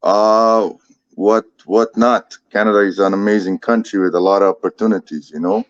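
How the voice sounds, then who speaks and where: A man's voice: lowish voice, fairly even intonation, moderate pace, no room sound, highs cut off. A man in his thirties with a foreign accent, a fighter talking casually in an interview, laid-back and swearing often. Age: 30s. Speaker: MMA fighter, guest. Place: Ottawa.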